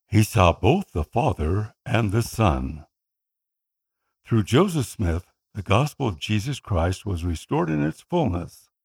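Man, Tagalog speaker, slightly gravelly, earnest narrator for a scripted personal story. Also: lowish voice, a little mellow. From Philippines. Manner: earnest, measured reading.

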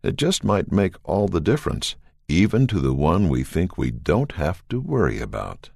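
A man reading a first-person story aloud in a steady, earnest, measured way, recorded close to the microphone. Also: low voice, vocal fry, husky, no room sound. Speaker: reader.

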